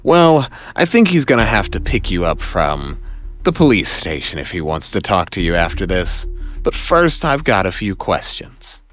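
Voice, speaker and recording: A man's voice: low-pitched, very animated, moderate pace, a bit bright, soft background music. A man acting a young, cocky, wisecracking male superhero in an audio drama, quippy and exclaiming. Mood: wry, cocky.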